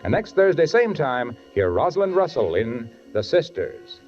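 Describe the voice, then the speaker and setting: A man's voice: medium pitch, big pitch swings, normal speed, darkish tone. A male announcer delivering 1940s radio advertising and announcements with folksy, punchy salesmanship. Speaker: announcer and sponsor pitchman. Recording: dull 1940s radio broadcast, music behind.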